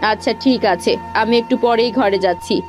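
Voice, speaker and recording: A woman's voice: on the high side, fairly quick, natural voice. A woman playing a scheming, scornful young sister-in-law in a cartoon fairy tale, bossy and sly. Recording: background music under the voice.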